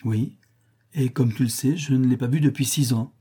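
A carefree young Mexican companion in an adventure tale, voiced by a male narrator. He is affectionate and lively, with a husky, soft-toned voice. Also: medium pitch, normal speed, natural voice.

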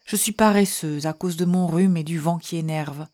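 A woman reading historical letters aloud in a measured, gentle way. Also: fairly low pitch. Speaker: reader.

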